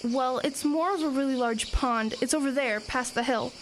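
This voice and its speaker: Woman playing a high-voiced, practical small-town girl. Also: a little hiss or hum, lightly acted. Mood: matter-of-fact. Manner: brisk, practical, earnest. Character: plucky, matter-of-fact small-town teenage girl sleuth. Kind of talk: audio drama acting.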